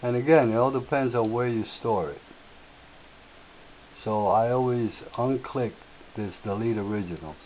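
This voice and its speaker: A man with a slightly raspy voice, hosting a software tutorial and explaining each step in an unhurried way with filler words. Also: medium pitch. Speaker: tutorial host. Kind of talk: screen-share software tutorial. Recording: tinny lo-fi audio with faint hiss.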